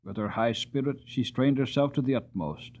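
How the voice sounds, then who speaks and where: A man giving a dramatic, deliberate and expressive storybook narration on a muffled, narrow-sounding recording. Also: mid-range voice. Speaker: audiobook narrator.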